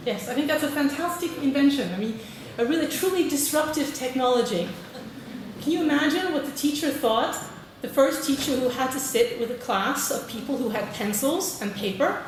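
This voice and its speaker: A woman's voice: high-pitched, lively intonation, normal speed. A woman from Britain, a teacher trainer giving a friendly conference talk and polling the audience. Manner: friendly, polls the audience, frequent "okay". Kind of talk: conference presentation. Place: large conference hall. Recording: echoey hall, distant mic, noisy.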